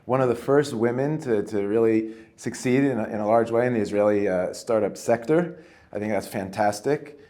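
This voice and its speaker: A man's voice: fairly low pitch, normal speed, dark tone. A man hosting an interview, eager and full of stumbling restarts as he introduces his guest. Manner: repetitive, stumbling restarts, enthusiastic. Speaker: interviewer, host.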